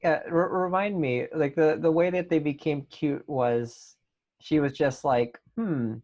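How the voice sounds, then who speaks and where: A man's voice: a little high, measured pace, darkish tone. A man hosting a casual interview, reading out questions and chatting, on a dull lo-fi connection. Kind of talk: casual moderated chat.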